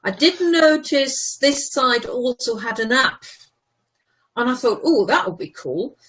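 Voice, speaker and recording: A woman's voice: sits high, expressive, measured pace, a bit bright, breathy edge. A woman whose first language is German, from Germany, tutoring in a casual chat, patient and explanatory, laughing easily.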